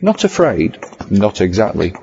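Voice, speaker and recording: Very deep-voiced man narrating horror. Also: very animated, vocal fry, warm tone. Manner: ornate, measured storytelling. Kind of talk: scripted horror story narration. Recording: slightly dull audio over music. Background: music.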